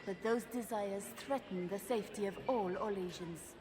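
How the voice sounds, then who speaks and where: A woman's voice: medium pitch, normal speed, natural voice. An actress voicing a gracious, formal female noble courtier in a video game, with a polished, courtly delivery. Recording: game dialogue over loud music.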